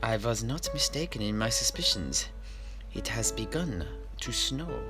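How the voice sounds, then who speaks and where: A man's voice: mid-range voice, expressive, unhurried, lightly acted. A man playing a jovial, tippling fantasy tavern keeper, telling stories in character in a hammy, hesitant, musing way. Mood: genial storytelling. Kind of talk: in-character theatrical fantasy storytelling. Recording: music behind the voice.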